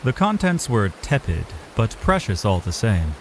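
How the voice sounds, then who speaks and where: A man's voice: lowish voice, very animated, moderate pace, a little hiss or hum. A man giving dramatic, scripted narration for a fantasy audio drama as its storyteller. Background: music.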